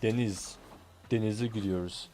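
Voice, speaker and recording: A man's voice: fairly low pitch, steady tone, a little slow, noisy background. Twenties male streamer, mixed South African-Turkish accent, rambling chatty delivery. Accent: mix of South African and Turkish. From born in Turkey, raised in South Africa. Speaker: game streamer. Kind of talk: casual live-stream gaming chat.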